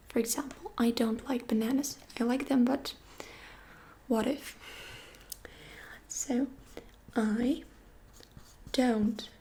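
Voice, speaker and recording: A woman whispers her way through a gentle ASMR language lesson. Her voice sits a little high and mellow, and she takes her time over every phrase, patient and unhurried. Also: slight background noise. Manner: soft whisper, slow patient explaining. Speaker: Russian language teacher.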